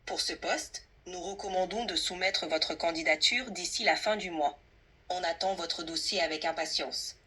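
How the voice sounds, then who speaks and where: A woman's synthetic assistant voice, husky, speaking fluently and politely as a tutor in a conversational role-play. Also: lowish voice, normal speed, sharp tone, a little hiss or hum. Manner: polite, fluent, helpful, orderly. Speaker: AI language tutor playing an employer.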